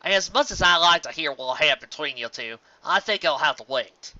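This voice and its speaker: A reader voicing a steady, practical, folksy female farm pony in a fan fiction story. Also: mid-range voice, fairly quick, no room sound, muffled recording. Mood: serious.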